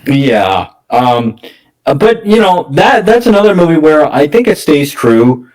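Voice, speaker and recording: A man in a casual film discussion as a podcast guest, speaking haltingly and often repeating phrases. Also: on the high side, big pitch swings, a little mellow, low-quality recording.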